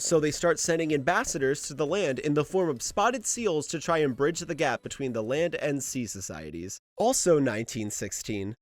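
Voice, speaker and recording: Man, fairly high voice, very animated intonation, emphatic scripted narration, music underneath. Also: no room sound. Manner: emphatic, exasperated asides, swearing. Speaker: host of an anime lore video. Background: background music.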